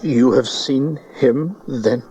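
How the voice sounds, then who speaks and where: A man's voice: medium pitch, slow, dark tone, husky, exaggerated voice. An actor playing a courteous, purposeful traveling wise man in a Bible audio drama, on a dull tape recording. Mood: earnest. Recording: dull tape, crowd behind.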